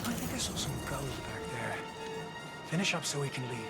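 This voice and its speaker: An actor playing a roguish, wry, gold-hungry mercenary in a fantasy game. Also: male voice, mid-range voice, big pitch swings, a little fast, loud music behind the voice, lightly acted. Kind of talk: video game cutscene acting.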